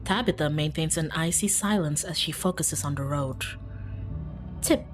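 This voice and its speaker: A woman's voice: sits low, normal speed. A woman streaming a game, reading aloud and commenting casually in an informal, giggly, self-interrupting way. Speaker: streamer playing and reading aloud a visual novel. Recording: music behind.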